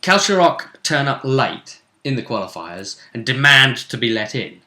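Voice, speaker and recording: Man, game master host, bright forward voice, roomy echo, casual recap talk. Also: mid-range voice, sing-song, normal speed. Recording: roomy, echoey sound.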